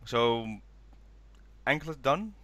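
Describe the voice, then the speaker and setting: A man's voice: medium pitch, expressive, extremely slow, pushed and bright, no room sound. A man hosting a casual fan discussion podcast, slightly stuffy-nosed with a cold coming on. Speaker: podcast host.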